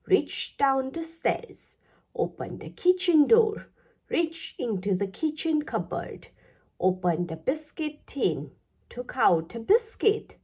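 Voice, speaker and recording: A woman reads a children's story aloud with a lightly husky voice. Her pitch moves up and down in a very animated way, and she takes her time over each phrase in an expressive, deliberate style for young listeners.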